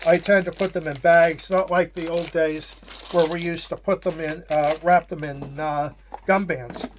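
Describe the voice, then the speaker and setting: A man with a Pittsburgh accent, hosting a casual unboxing and walking through the contents in a measured way with frequent pauses. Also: a little high, steady tone, noisy background. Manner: unhurried, measured, with frequent hesitations. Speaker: wargame channel host.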